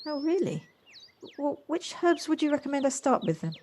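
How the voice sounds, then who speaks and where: A woman's voice: high-pitched, big pitch swings, moderate pace, a little mellow, slight background noise. Polite, chatty city career woman, acted. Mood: self-deprecating, earnest. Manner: polite, chatty, slightly flustered. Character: busy city career woman, Jocelyn, polite and slightly self-deprecating. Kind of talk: amateur audio drama acting.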